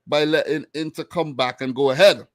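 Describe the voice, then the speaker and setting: A man in his mid-thirties chats loosely about football as a podcast co-host, his voice sitting a little high. He rambles, restarts and leans on "you know" and "man". The sound is dry and close. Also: fairly even intonation, normal speed, no echo. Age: 30s. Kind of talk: casual chat.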